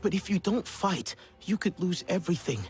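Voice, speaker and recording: A young alien hunter, kinda high voice, unsure of himself, game cutscene with music going. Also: male voice, expressive, moderate pace, a little mellow. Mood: earnest. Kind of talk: dramatic video game acting.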